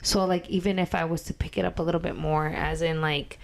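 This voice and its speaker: Raspy, loud Mexican American woman. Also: low voice, little pitch movement, fairly quick. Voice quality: raspy, rough voice. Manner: loud, blunt, swears freely. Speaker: podcast co-host. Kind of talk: casual chat.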